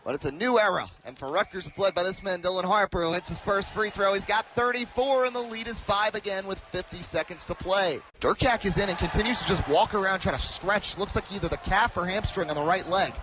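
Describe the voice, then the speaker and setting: A man in his early twenties doing live radio basketball play-by-play, with an emphatic, excited delivery that rises to shouts. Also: sits high, fairly quick, hardly any pauses, background noise. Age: early 20s. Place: courtside in a large arena.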